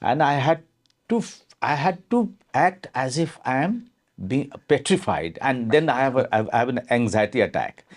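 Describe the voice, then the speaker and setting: Animated man chatting in an interview, voice bouncing around, chuckles through the story. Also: medium pitch, moderate pace. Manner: lively, amused, laughing through the telling. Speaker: actor, interview guest. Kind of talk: casual interview chat.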